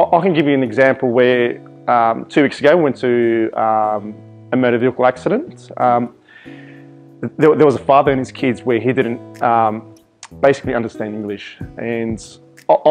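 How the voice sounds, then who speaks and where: A young man from Iraq whose first language is Arabic, a volunteer firefighter answering interview questions earnestly, with many ums and restarts, in a fire station office. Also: mid-range voice.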